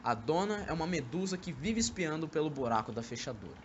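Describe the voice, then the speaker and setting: A man's voice: fairly high pitch, big pitch swings, fast, lo-fi sound. Man playing a sly young Brazilian charmer, swooping intonation. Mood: pleading. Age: young adult. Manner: sweet-talking, teasing. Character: jovial, sly, pleading young man wooing his ex-lover. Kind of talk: amateur radio drama acting. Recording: noisy amateur recording.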